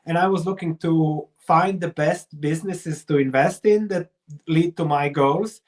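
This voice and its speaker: Higher-voiced middle-aged man, Croatian accent. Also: unhurried, a little mellow. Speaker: investor and researcher, interview guest. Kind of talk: explanatory interview talk.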